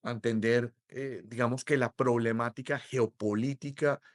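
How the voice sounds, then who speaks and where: A middle-aged Colombian man with a Colombian accent, explaining mortgages as an interview guest in a measured way, with many hesitations. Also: medium pitch, fairly even intonation, no room sound, clean studio sound. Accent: Colombian Spanish. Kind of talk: interview conversation.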